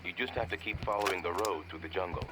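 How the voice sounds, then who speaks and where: Guy acting as calm low voiced mission handler in a video game, noisy background rumble. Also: fast talker, natural voice. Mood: businesslike. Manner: instructive, matter-of-fact. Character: calm male intelligence operative guiding a mission by radio.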